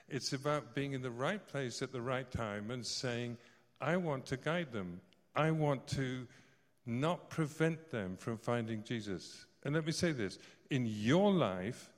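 A man in his sixties preaching a sermon, telling stories with joking asides. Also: medium pitch. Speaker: visiting preacher. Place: church service hall. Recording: long reverb of a large hall.

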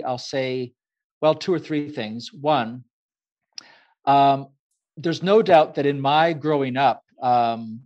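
A man's voice: medium pitch, darkish tone. Reflective male author guest, raised in Ecuador, thoughtful delivery, lo-fi remote sound. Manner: measured, thoughtful, many fillers. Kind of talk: reflective interview answer.

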